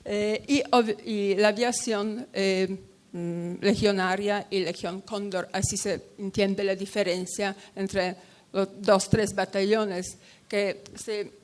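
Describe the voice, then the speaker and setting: A woman gives a conference talk in a language that is not her first. She hesitates often, restarting phrases and filling gaps with "eh", at a middling pitch for a woman. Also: fairly even intonation, slow. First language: Polish. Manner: hesitant, many fillers and restarts. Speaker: conference speaker. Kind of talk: academic talk at a panel.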